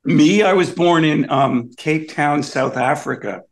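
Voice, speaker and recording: A man's voice: fairly high pitch, unhurried, vocal fry, rough recording. A man from Canada, a comedian guest on a video call, telling wry, complaining stories in a casual interview.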